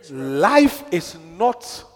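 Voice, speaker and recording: A man preaching an animated, exhortatory sermon, repeating short phrases and pausing for responses. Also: high voice. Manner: exhortatory, repetitive, pauses for interpreter and congregation responses. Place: large reverberant room, preaching to congregation. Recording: reverberant hall.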